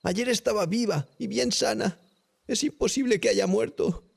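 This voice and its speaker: A man's voice: sits high, normal speed, vocal fry, breathy edge, pitched above the performer's own voice, exaggerated voice. A man voicing an anxious, horrified young male medical student in an audiobook, with a thin, strained delivery. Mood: alarmed insistence. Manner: agitated, protesting. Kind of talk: audiobook character acting.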